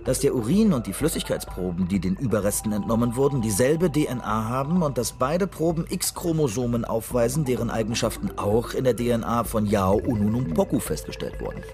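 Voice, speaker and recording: An actor playing a shrewd, cynical investigator in a radio drama, speaking in a methodical, matter-of-fact way. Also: male voice, mid-range voice, animated, brisk, hardly any pauses, over music, close to an everyday voice. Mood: methodical, official. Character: shrewd, cynical male investigator reciting a fabricated case report. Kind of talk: radio drama dialogue, reading out a report.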